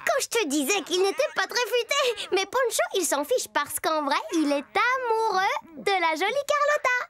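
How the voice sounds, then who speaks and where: A performer playing a lively childlike girl storyteller, narrating a children's cartoon in a chummy, expressive way straight to the viewer. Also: very high voice, moderate pace, heavily acted. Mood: confiding.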